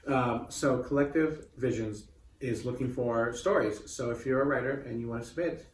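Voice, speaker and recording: A man's voice: mid-range voice, moderate pace. A man co-hosting in a casual, playful, joking way. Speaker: co-host and author. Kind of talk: casual banter. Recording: roomy, distant mic, faint background noise.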